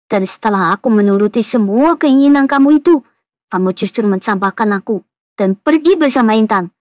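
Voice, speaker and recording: A woman's voice: fairly high pitch, animated, a little fast, a little mellow, no room sound, muffled recording, close to an everyday voice. A woman playing a guilty, emotional young woman in a horror cartoon, with melodramatic delivery. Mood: bitter, vindictive. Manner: emotional, dramatic. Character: guilty young woman, spiteful yet easily terrified. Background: background music.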